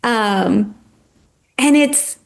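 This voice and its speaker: Woman, former teacher, fairly high voice, animated, passionate, lots of "like". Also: extremely slow, gravelly. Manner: passionate, animated, heavy use of "like". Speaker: podcast guest, former teacher. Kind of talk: casual podcast conversation.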